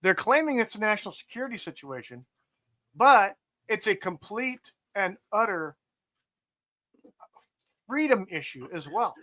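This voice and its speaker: A man with a high, bright voice talks over current events on a casual podcast. He rants and curses with emphasis and keeps going at length. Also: big pitch swings, unhurried, pauses often. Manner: profane, ranting, emphatic. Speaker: podcast host. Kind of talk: casual political chat.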